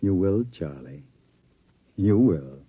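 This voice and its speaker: An actor playing a bossy, smooth-talking gangster with a sardonic, commanding manner in an old-time radio drama. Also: male voice, sits low, animated, takes it slowly, no room sound, narrow, tinny audio, full character voice.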